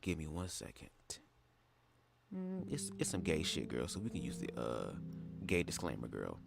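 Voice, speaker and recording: A man's voice: a bass voice, wildly swooping pitch, moderate pace, gravelly, very noisy. A man hosting a casual livestream, chatty and slangy, talking straight to his viewers with a stuffy nose. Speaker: livestream host.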